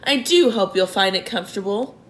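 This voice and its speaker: A woman's voice: medium pitch, animated, fairly quick, a little hiss or hum, lightly acted. A woman voicing a gracious, refined female spider in a storybook reading. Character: gracious female spider, genteel and proud of her craft.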